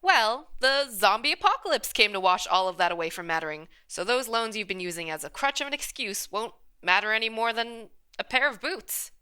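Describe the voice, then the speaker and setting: A woman's voice: a little high, animated, a little fast, hardly any pauses, sharp tone, clear, resonant tone. An actress playing a haughty, sarcastic female manager in a radio play, with a withering, ornate way of speaking. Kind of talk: radio drama acting.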